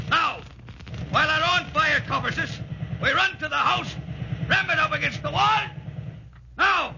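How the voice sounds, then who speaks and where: An actor playing a tough, stern British army sergeant major barking orders as a drill instructor in a 1940s radio drama. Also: male voice, extremely high pitch, creaky, background noise, exaggerated voice. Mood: barking, urgent. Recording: muffled 1940s radio recording.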